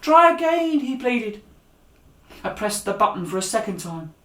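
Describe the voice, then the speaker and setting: Male storyteller for young audiences, animated and direct, recorded with noticeable room echo. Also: high voice, moderate pace. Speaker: author and storyteller. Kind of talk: story reading aloud for young listeners. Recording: echoey room.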